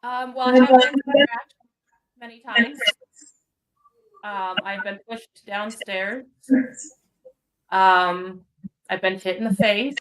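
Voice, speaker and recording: A woman's voice: medium pitch, takes it slowly, pauses often, rough recording. Woman telling a ghost story, ums. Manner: relaxed, many ums, vivid retelling. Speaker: paranormal investigator guest. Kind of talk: storytelling in casual chat.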